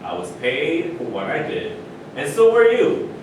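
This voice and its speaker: A man's voice: wildly swooping pitch, unhurried. A man at a staged reading, playing a proud, self-assured retired sports star who argues his case. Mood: indignant. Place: live staged reading in a room, distant microphone. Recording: echoey room from far off, rumbling noise.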